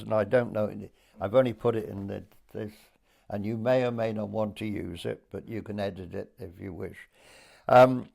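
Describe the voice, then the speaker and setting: An Englishman in his eighties from Dorset, recalling his childhood for an oral history interview in a hesitant storytelling way with pauses. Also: on the low side, vocal fry, darkish tone. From Dorset, England. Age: 80s.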